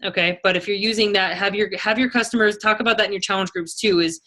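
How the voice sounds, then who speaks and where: A woman's voice: mid-range voice, steady tone, fast talker, sharp tone. A woman leading a team as a fitness sales coach, talking casually and chattily in an informal motivational style. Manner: informal, chatty, peppered with okay and you know.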